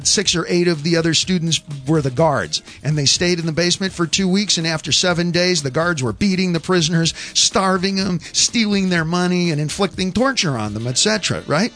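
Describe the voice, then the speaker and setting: Radio host guy, bit higher voice, argues and rambles, music playing faintly behind. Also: fairly bright tone. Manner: argumentative, rambling, wry asides. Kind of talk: call-in radio talk.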